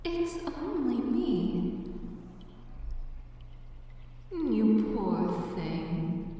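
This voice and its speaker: A woman's voice: on the high side, dark tone. A woman playing a predatory, possessive dungeon boss monster in an ASMR roleplay, speaking in teasing, condescending, formal old-fashioned diction with a cave echo effect. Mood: mocking. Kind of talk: ASMR audio roleplay, theatrical archaic speech. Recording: echoing cave reverb, distant, faint music.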